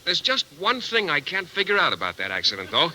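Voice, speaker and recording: Earnest, sharp-toned radio schoolteacher. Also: male voice, on the high side, wildly swooping pitch, brisk, exaggerated voice. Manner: hesitant, literal, earnest. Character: earnest, naive, penny-pinching male biology teacher. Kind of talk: 1950s radio sitcom acting. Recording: lightly crackly old radio recording.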